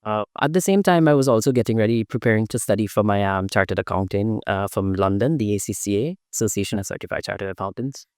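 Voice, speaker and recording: Soft-spoken man from Guyana, smooth voice. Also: lowish voice, fast talker, hardly any pauses, no room sound, clean studio sound. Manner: thoughtful, many fillers and restarts. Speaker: guest, Kathak dancer. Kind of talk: conversational interview answers.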